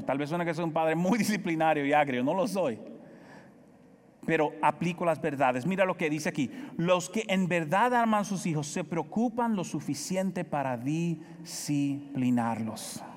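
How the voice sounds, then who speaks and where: A man with a Dominican accent preaching a sermon as pastor, pressing his points with emphatic repetition and swinging between loud and soft. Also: fairly high pitch, big-room sound. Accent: Dominican Spanish. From Dominican Republic.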